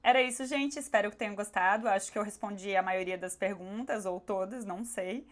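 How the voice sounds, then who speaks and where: A woman with a Brazilian accent speaks in a casual question-and-answer vlog style. Her voice is hoarse and sits at a medium pitch. She comes across as candid and conversational, talking straight to her viewers. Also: brisk, background noise.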